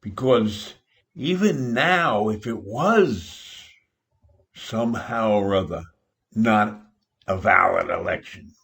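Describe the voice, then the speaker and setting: An older man with an American accent giving a political opinion monologue, deliberate and emphatic, with dramatic pauses. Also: fairly low pitch, lively intonation, vocal fry, fairly bright tone. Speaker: political commentator.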